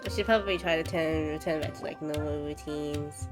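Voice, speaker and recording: A woman's voice: sits low, fairly even intonation, brisk. A woman live streamer casually commenting on a game with short murmured reactions and a giggly manner. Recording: game music behind the voice.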